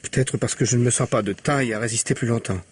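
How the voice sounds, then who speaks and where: A guilt-ridden husband from an old radio mystery, voiced by a man. He hesitates and stammers. His voice sounds husky. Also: medium pitch, steady tone, fast, close to an everyday voice. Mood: guilty. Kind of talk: 1950s radio drama acting.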